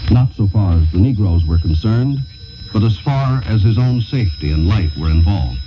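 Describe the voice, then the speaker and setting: Man, lowish voice, formal 1960s radio editorial, solemn oratory, dull shortwave sound, loud noise. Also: animated, moderate pace. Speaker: radio commentator and announcer. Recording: noisy, band-limited 1960s shortwave radio recording. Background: loud shortwave static and noise.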